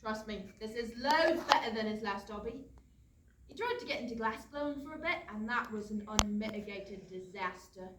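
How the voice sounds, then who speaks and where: A woman's voice: medium pitch, lively intonation, normal speed, natural voice. A woman acting a seasoned, kindly castle guard who teases and explains in colloquial speech, in a staged comedy reading. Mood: explaining. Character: seasoned, chatty, bird-loving castle guard, teasing but kindly. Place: indoor studio theatre. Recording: roomy, distant stage pickup, faint noise.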